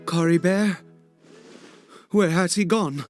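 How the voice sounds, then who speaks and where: An actor playing a frantic, fanatical father in a video game, speaking in a halting, stammering, theatrical way. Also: sits high, a little mellow, soft background music. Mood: anxious worry. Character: frantic, fanatical father searching for his missing son. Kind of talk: dramatic video game voice acting.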